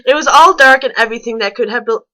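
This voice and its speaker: Bright, high-voiced woman reading aloud. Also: fast talker, poor audio. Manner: stumbles over words, rereads lines. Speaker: reader on a fan fiction reaction show. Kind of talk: reading a story aloud with mocking asides.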